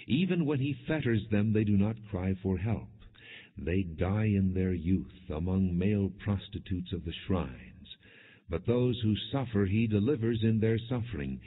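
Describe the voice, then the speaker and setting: Deep guy reading bible solemnly, measured and declamatory, big hall reverb, tinny narrow audio. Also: animated. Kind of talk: solemn scripted scripture reading. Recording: phone-like narrow band, long hall reverb.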